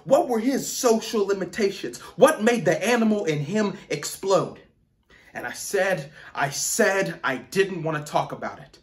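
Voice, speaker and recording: A man's voice: sits high, animated, a little slow. An actor playing an angry, wounded teenage boy confronting his absent father in an intense, emotional theatrical monologue. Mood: building frustration. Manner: intense, emotional, swinging from hushed to explosive.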